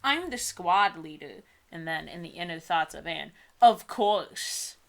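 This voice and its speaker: A woman's voice: lowish voice, animated, a little slow, vocal fry, fairly bright tone, a little hiss or hum. A woman co-hosting a comedy book reading, reading aloud mock-dramatically and breaking into casual, giggly asides.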